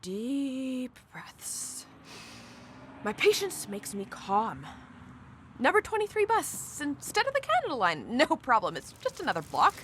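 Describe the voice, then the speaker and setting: A woman's voice: high-pitched, huge pitch swings, a little slow, fairly bright tone, slight background noise, exaggerated voice. A woman playing an upbeat, impatient young wheelchair user in a radio play, chatting in perky slang and muttering to herself. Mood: flustered. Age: young adult. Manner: perky, slangy, talks to self. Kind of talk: naturalistic radio drama acting.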